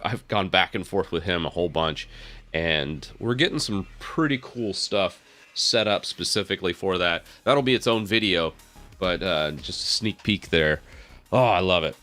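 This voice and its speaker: A man, slightly raspy from a head cold, talks casually on a live stream, thinking aloud as he works with lots of fillers and small laughs. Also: sits low, moderate pace, sharp tone, faint music behind. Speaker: tech streamer. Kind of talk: casual live stream talk while working on screen.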